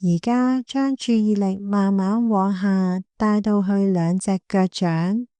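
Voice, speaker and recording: Female meditation guide, Hong Kong Cantonese accent. Gentle and calm, dark relaxed tone, very unhurried delivery. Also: medium pitch, dry recording. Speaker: meditation guide, clinical psychologist. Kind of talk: guided body scan meditation.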